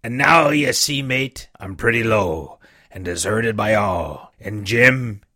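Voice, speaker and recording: A man voicing a sick old sea captain who pleads and blusters in rough sailor's talk with a weak, feeble voice. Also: lowish voice, expressive, takes it slowly, bright, forceful tone, pitched below the performer's own voice, heavily acted. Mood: pleading.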